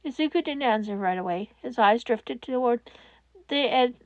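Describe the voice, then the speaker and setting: A woman reading fan fiction aloud as audiobook narration, in a slow, measured way. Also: on the high side, expressive, dry recording, dull audio. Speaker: podfic reader.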